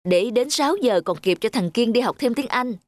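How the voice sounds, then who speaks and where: A woman's voice: a little high, lively intonation, normal speed, natural voice. A woman voicing an impatient, fretful, strict young mother in a cartoon. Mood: insistent. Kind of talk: cartoon dubbing.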